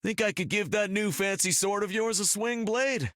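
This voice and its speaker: A man's voice: high-pitched, moderate pace, a bit bright, no room sound, clean studio sound. An actor playing a brash, bantering archer hero in a video game, proud of his skills. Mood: cocky banter.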